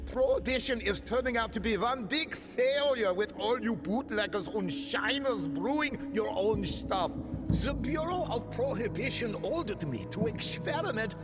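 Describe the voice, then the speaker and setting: A man's voice: very high voice, lively intonation, unhurried, in a large hall. A man playing a frantic, self-justifying government scientist in a video game cutscene, with a wordy, theatrical, agitated manner. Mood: indignant, proud. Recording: loud music behind.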